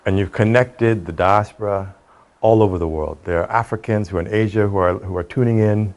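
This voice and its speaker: A man's voice: fairly low pitch, brisk, a little mellow. A middle-aged man from the United States with an American accent, speaking articulately and earnestly as an executive in a conversational interview. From Cleveland, United States. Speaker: real estate executive being interviewed.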